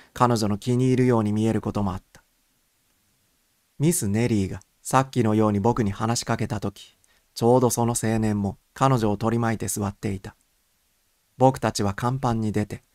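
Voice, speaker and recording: A man's voice: medium pitch, darkish tone, no room sound, studio quality. A man reading a first-person story as a scripted audiobook narration.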